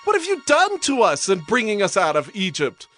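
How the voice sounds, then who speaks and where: A man's voice: sits high, huge pitch swings, moderate pace, fairly bright tone, heavily acted. A man playing a frightened, complaining ancient in a scripture audio drama, agitated, accusing and pleading. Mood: fearful accusation. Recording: music bed behind voice.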